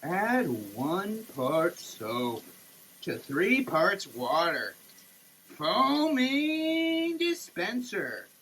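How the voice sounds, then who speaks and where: A man performs a theatrical dramatic reading in a high voice that swings widely in pitch. His delivery is halting and over-emphatic, played for comedy. There is light ambient noise in the recording. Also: very animated, a bit bright, low-quality recording. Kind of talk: dramatic reading of a product label.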